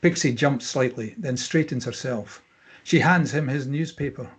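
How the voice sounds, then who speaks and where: A man with a husky voice reading scripted stage directions as narrator at a table read. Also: mid-range voice, normal speed.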